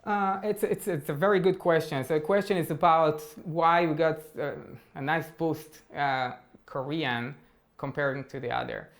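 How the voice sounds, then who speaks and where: Halting male tech speaker. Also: on the high side, steady tone. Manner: hesitant, many fillers and restarts. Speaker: tech talk presenter. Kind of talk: technical talk with audience Q&A.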